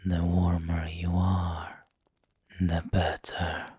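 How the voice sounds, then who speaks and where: A man performs a refined, seductive male vampire lord in an intimate ASMR roleplay. The voice is deep and extremely breathy, mostly whispered. He speaks very slowly, with formal, doting courtesy. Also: completely flat delivery, a little mellow, dry recording, full character voice. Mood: seductive, tender. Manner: slow, intimate, formal wording.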